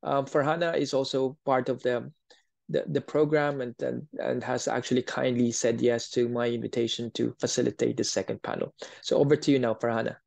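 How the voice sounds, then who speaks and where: A man organising an academic event, talking casually in a halting, repetitive way as he hands over. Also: medium pitch, fairly even intonation, gravelly, a little mellow, dry recording. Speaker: module leader, event organiser.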